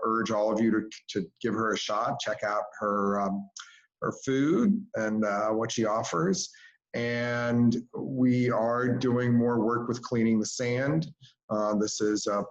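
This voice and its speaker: An American man giving an informal update as a local commissioner at an online public meeting, explaining things in a measured way. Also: fairly low pitch. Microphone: video call. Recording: dull, lo-fi video call audio.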